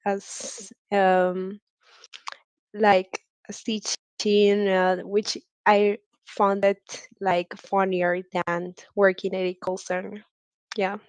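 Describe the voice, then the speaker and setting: A woman's voice: medium pitch, flat delivery, extremely slow, smooth, dry recording. A woman. She is an English teacher answering interview questions over a video call, hesitant and full of fillers. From Guatemala. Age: 20s. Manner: hesitant, many fillers, light laughs.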